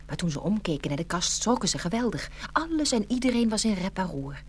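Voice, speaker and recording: A woman's voice: mid-range voice, sing-song, a little fast. A woman narrating a scripted fairy tale expressively, as a storyteller.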